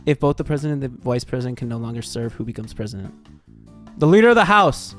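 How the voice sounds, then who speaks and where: An American man livestreaming in casual chat, excitable and sweary, reacting and reading things aloud. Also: mid-range voice, fast talker, soft background music. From Texas, United States. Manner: excitable, swearing, jumps from muttering to shrieks. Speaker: livestream host.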